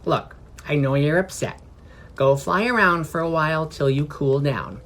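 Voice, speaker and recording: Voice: medium pitch, lively intonation, moderate pace, a bit bright. A narrator voicing a female gnome wizard with a casual, blunt and fond way of talking. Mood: unapologetic.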